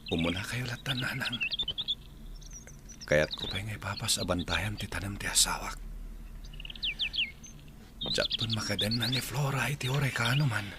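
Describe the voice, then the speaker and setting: A man's voice: lowish voice, normal speed, pauses often, heavy background noise, close to an everyday voice. A man with an Ilocano Filipino accent playing a sorrowful widower and devoted son in an audio drama. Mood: mournful. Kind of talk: amateur audio drama acting.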